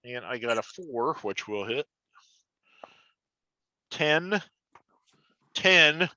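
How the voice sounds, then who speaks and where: A man's voice: a little high, lively intonation, extremely slow, bright, forceful tone, dry recording. A man chatting casually as a player in a tabletop game, in a dull, muffled recording.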